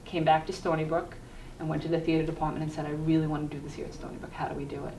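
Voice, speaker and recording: A woman's voice: low-pitched, level tone, very fast, dark tone. A middle-aged woman, an educator, explaining her work earnestly in a conversational interview as a guest. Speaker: guest, university health educator. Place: echoey room, cable TV program recording. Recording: roomy echo, distant mic, faint noise.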